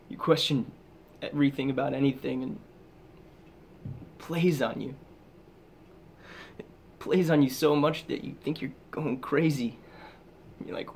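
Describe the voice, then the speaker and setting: Man performing haunted male soldier, stage monologue, unhurried halting delivery, intense, mid-pitched, faint background noise. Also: vocal fry. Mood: tormented. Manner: halting, intense, with heavy pauses. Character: haunted, guilt-ridden male soldier back from war.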